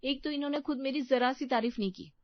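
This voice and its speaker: A young new bride from a domestic storybook , acted by a woman, naive, eager and quick to feel slighted. Her voice sits fairly high, in a dry, lo-fi recording. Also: steady tone, no echo, natural voice. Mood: indignant.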